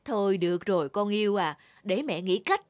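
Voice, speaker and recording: A woman's voice: mid-range voice, expressive, moderate pace, no echo, clean studio sound, lightly acted. A woman voicing a cruel, scheming middle-aged stepmother in a children's fairy-tale cartoon, scolding and commanding. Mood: coaxing.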